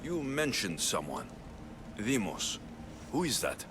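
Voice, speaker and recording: An actor playing a determined young Spartan mercenary in a video game, blunt and questioning. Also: male voice, mid-range voice, wildly swooping pitch, slow, noisy background. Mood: probing. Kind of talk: dramatic video game acting.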